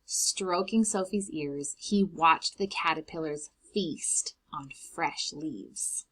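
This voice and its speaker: A woman reading a children's storybook aloud in an expressive way, explaining things simply to young children. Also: deep voice, slow pace, breathy edge, rough recording. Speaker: read-aloud host for preschoolers.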